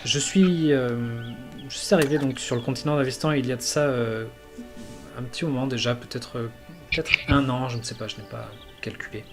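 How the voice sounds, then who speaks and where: A man voicing an earnest male elf envoy from a jungle land, speaking haltingly with many fillers. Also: medium pitch, over music, lightly acted.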